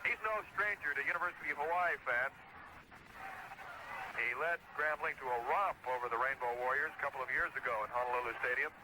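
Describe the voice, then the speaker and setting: A man's voice: mid-range voice, fairly quick, noisy background. A man with an American accent calling live football play-by-play in clipped, descriptive phrases on a 1970s radio broadcast.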